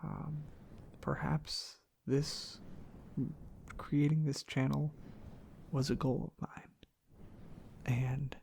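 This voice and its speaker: A man speaking in a soft, hushed, half-whispered ASMR ramble, breathy and gravelly, in unhurried halting phrases. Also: a little high, flat delivery. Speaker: ASMR content creator. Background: tapping and clicking sounds.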